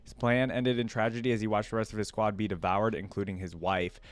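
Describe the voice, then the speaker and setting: A man's voice: lowish voice, flat delivery, fast, no echo. A man giving casual reaction commentary as a video host, rambling and thinking aloud.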